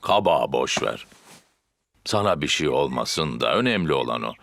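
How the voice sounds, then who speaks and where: A man voicing a big, kindly, playful daddy pig in a children's cartoon dub, with drawn-out fatherly exclamations. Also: rumbling low, lively intonation, creaky, a bit bright, no echo, exaggerated voice.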